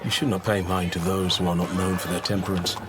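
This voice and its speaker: An actor playing a loyal, deferential manservant with dry wit in a period radio drama. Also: male voice, sits low, flat delivery, fast, over music, natural voice. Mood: evasive. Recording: noisy outdoor street ambience.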